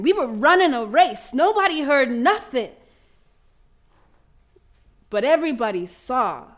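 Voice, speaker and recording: A woman's voice: fairly high pitch, fairly bright tone. A woman playing a proud young schoolgirl runner in an audio drama monologue, telling her story in colloquial, emphatic speech with dramatic repetition. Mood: stunned, insistent. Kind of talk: dramatic first-person monologue, audio play.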